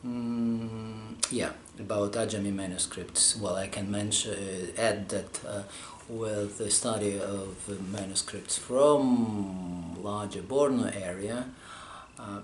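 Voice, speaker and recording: A man from Russia, a scholar answering interview questions, pausing often as he searches for his words. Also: on the low side, fairly even intonation. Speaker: scholar interviewed about manuscript studies. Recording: roomy echo, faint background hum.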